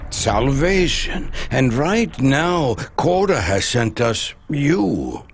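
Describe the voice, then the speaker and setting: An actor playing a devout, weary leader of starving outcasts in a video game, speaking in a solemn, preacherly, measured way. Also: male voice, mid-range voice, very animated, slightly rough, exaggerated voice. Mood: solemn fervour. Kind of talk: dramatic video game dialogue.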